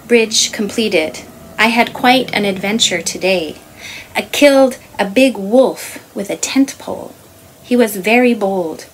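Slow-reading middle-aged woman, noisy recording. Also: mid-range voice. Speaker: author reading aloud. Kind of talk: measured literary non-fiction reading. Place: small room with wooden beamed ceiling.

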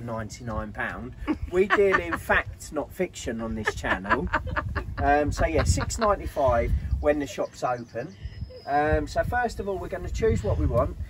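British male vlogger, middle-aged, lively swooping delivery, jokey banter, casual, noisy on-location camera sound. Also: a little high. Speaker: vlog host. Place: camper van, farm yard and outdoor spots. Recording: clear ambient noise on camera audio.

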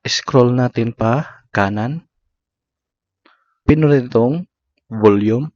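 A man with a Filipino accent narrates a how-to in short, segmented instructions. His voice sits at an average pitch. The recording is dry and a little dull and lo-fi. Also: slow, a little mellow, no room sound. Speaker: tutorial host. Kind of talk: step-by-step app tutorial narration.

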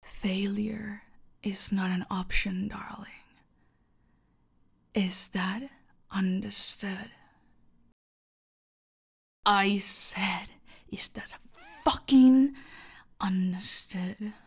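Slow, breathy, seductive cartel villainess acted by a woman. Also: medium pitch, steady tone, exaggerated voice. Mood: cold menace, then angry command. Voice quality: breathy, often dropping to a whisper. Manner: slow, menacing, haughty, purring endearments. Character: seductive, domineering female cartel boss villain. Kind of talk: scripted roleplay audio acting.

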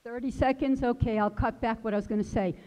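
An older woman, an audience member, making a deliberate request into a handheld mic at a town meeting, stressing her words slowly. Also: on the high side, steady tone, darkish tone. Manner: deliberate, pleading, slow emphasis. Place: large wood-paneled hall, indoors. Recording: dull sound in an echoey hall.

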